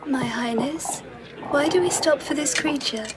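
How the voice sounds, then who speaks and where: An actress playing a disdainful empress with a cool, hushed manner. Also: female voice, high-pitched, measured pace, noisy background. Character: disdainful, haughty empress of old Japan. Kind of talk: BBC radio drama acting.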